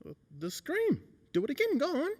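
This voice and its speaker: A woman's voice: sits high, huge pitch swings, fairly quick, darkish tone. A woman playing a skeptical, easygoing ten-year-old kid in an old-time radio drama, talking in folksy slang. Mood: doubtful. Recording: long hall-like reverb.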